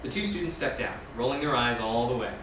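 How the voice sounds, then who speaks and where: A man reads stage directions quickly in a very echoey, noisy room, some way from the mic. His delivery is scripted narration, moving briskly through screenplay scene descriptions. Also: mid-range voice, distant mic. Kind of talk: reading screenplay directions aloud.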